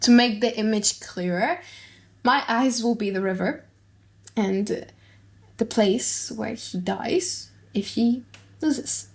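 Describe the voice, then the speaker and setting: Audiobook drama: young romantic heiress woman reader, higher voice, tender, anxious, playful, halting. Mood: resolute, wistful. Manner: halting, sighing, giggly.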